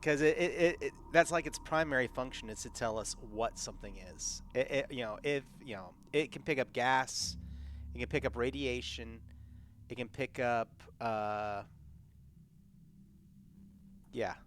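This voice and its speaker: A man playing a tabletop roleplaying game, chatting casually and haltingly, repeating words as he thinks. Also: mid-range voice, fairly bright tone, slight background noise. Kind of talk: casual in-character game chat.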